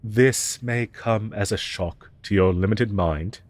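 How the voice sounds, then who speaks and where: A low male voice , acted by a man, for an ancient dragon who teaches human students in a fantasy audio roleplay. He is haughty and pompous, choosing archaic, formal words. His delivery is deliberate and full of disdain for lesser creatures. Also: no room sound. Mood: condescending. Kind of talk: roleplay audio drama, scripted monologue.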